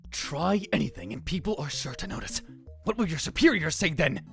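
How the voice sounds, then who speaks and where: A man's voice: fairly high pitch, big pitch swings, a little fast, gravelly, faint music behind, full character voice. A man playing a paranoid, grandiose young mad scientist in a visual novel fan dub, with a pompous, melodramatic delivery. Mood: smug, brooding. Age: young adult. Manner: pompous, melodramatic, swinging from hushed muttering to outbursts.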